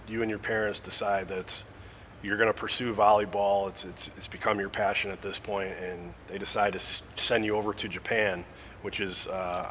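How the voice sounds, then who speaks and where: A man's voice: fairly low pitch, a bit bright, slight background noise. A man interviewing a student athlete, asking long, winding questions with fillers.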